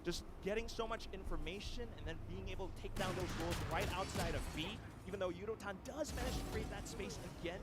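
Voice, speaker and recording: A man with a high-pitched voice is calling a live esports match. He moves between play-by-play and analysis. There is clear noise behind him, like an urban ambience. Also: fast, hardly any pauses.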